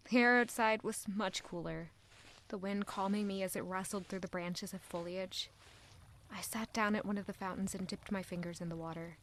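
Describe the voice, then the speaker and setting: A woman's voice: medium pitch, fairly bright tone, a little hiss or hum, close to an everyday voice. An actress playing an anguished, proud young court lady in an audio drama, brooding intimately in a dramatic first-person monologue. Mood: subdued, uneasy. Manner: intimate, brooding, emotionally intense.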